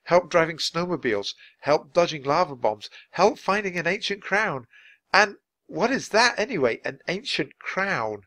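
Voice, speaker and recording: Man voicing an anxious boy, raised pitch. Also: big pitch swings, a little slow, fairly bright tone, full character voice. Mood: worried. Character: anxious, earnest young boy, older brother.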